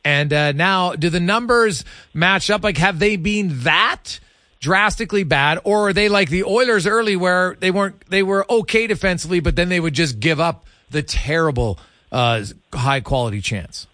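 A male sports radio host speaks in a dry, close studio sound with a slightly high pitch. He interviews informally, feeling his way through long, halting questions. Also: sharp tone, no echo.

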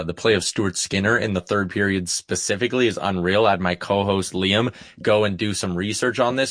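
A man's voice: medium pitch, a bit bright, dry recording, clean studio sound. A man giving wordy, enthusiastic, opinionated hockey analysis in a casual sports show chat.

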